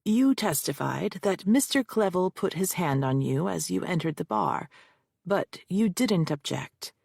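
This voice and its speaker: A woman reading as a gravelly, needling male defense lawyer. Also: fairly low pitch, expressive, measured pace, darkish tone, no room sound, studio quality, full character voice. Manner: cold, pointed, needling questions. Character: aggressive male defense lawyer cross-examining. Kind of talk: audiobook character reading.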